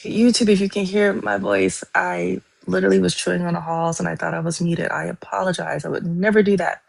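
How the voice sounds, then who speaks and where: A woman chatting casually on a livestream, talkative and quick to apologize. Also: fairly low pitch, fairly even intonation, vocal fry, dry recording.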